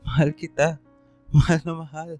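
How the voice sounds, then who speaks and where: An actor playing a lovestruck two-timing young man in a radio drama, halting and earnest as he makes excuses. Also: on the high side, level tone, dark tone, no echo, faint music behind, close to an everyday voice. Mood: pleading. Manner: halting, earnest, repeats words.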